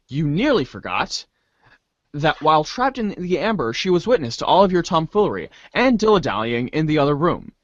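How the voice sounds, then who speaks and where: Male narrator for a comic reader's theater, highish voice, mock-grand delivery, dry and close sound. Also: no echo, dull audio. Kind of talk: dramatic narration of a comic adventure.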